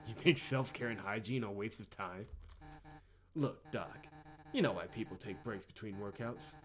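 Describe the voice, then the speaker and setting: A man's voice: on the low side, normal speed, crowd noise, lightly acted. A man voicing a brash, foul-mouthed young woman fighter from a visual novel, blunt and mocking. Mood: blunt, coaxing. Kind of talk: visual novel character reading.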